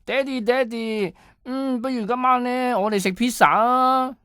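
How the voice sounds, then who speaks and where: A man's voice: very high-pitched, steady tone, unhurried, a bit bright, clean tone, dry recording, clean studio sound, voice pushed up. A man voicing a young child who pleads and whines to a parent in an acted skit. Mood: pleading, eager.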